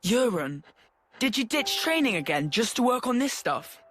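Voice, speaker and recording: Blunt, lively British child. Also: female voice, a little high, moderate pace, a little hiss or hum. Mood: scolding. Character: blunt, teasing child, sceptical and bossy.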